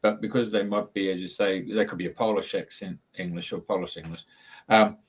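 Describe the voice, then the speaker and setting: A man co-hosting a conversation talks in a fairly low voice, pausing and trailing off as he looks for the right words. His delivery is halting and thoughtful. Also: steady tone, husky. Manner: hesitant, searching for words. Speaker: co-host. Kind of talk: casual conversation.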